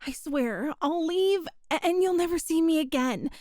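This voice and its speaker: A woman's voice: very high-pitched, lively intonation, moderate pace, darkish tone, no echo, studio quality. A woman voicing a young cat-girl burglar who is sly but pitiful, talking in a sheepish, flustered, defensive way, for an audio roleplay. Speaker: ASMR roleplay performer.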